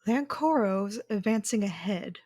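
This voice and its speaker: An actress playing a dutiful young Sith daughter in an audio drama, obedient and businesslike. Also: female voice, medium pitch, lively intonation, unhurried, darkish tone, dry recording, clean studio sound.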